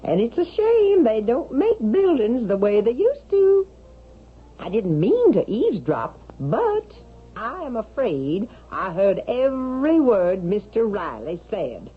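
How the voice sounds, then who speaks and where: Swooping, husky loyal companion. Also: female voice, fairly high pitch, takes it slowly, exaggerated voice. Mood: reassuring. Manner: chatty, expressive. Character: loyal, chatty, kindly female household companion. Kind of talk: 1970s radio drama acting. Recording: muffled, lo-fi vintage radio recording.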